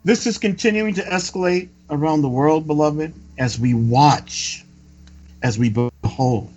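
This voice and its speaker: A man reading a scripted news report with preacherly commentary, in a deliberate, emphatic and solemn way. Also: a little high, a little mellow. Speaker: religious report reader and commentator. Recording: dull lo-fi audio with music. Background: background music.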